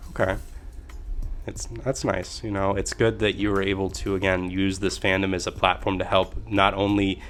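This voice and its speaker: A man's voice: deep voice, level tone, moderate pace, fairly bright tone, faint music behind. A man hosting a podcast in casual chat, laid-back and affirming with slangy asides. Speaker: podcast host.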